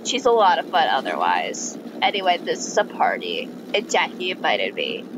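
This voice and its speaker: Woman putting on a low, deadpan crocodile girl. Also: moderate pace, noisy background, full character voice. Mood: dry, deadpan. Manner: low, flat, dry delivery. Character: laid-back, deadpan female crocodile, young adult friend.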